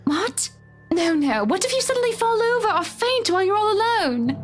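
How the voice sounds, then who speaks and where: A wealthy, self-assured wife in a visual novel. Her voice is very high, with a brisk, chatty, teasing delivery over background music. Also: big pitch swings. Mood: concerned.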